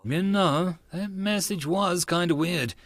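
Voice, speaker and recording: A man's voice: sits high, slow, a bit bright. A man voicing a puzzled young male friend in an anime visual novel, casual and matter-of-fact. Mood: insistent.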